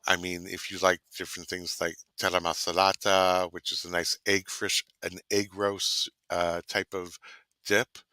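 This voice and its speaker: A man's voice: sits low, level tone, measured pace, pushed and bright, dry recording. A man from Canada co-hosting a casual online cooking chat, rambling and savoring his words. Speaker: co-host.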